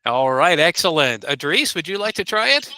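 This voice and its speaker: A man teaching a basic English class over a video call, patiently modeling phrases slowly for his students. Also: a little high, sing-song, bright, forceful tone, clean tone, no room sound. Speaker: ESL teacher. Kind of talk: encouraging classroom instruction.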